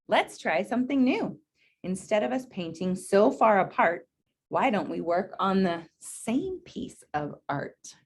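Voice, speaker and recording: A woman's voice: medium pitch, lively intonation, measured pace, slightly rough. A woman voicing an eager male red paint splatter from a storybook, upbeat and coaxing as he rallies his team. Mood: excited.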